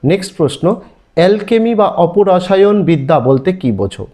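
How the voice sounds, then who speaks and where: A man's voice: sits high, animated, brisk, warm tone. A man with an Indian Bengali accent teaching an exam-prep lesson, reading out listed questions in an instructional, emphatic way. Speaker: online history teacher.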